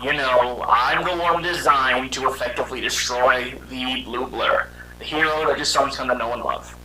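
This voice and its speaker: Over clear background noise, a man plays a weary young male robot hedgehog in an animated fan drama. He talks conversationally and haltingly, like a dramatic storyteller, at a middling pitch. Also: rough recording. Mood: hesitant, candid.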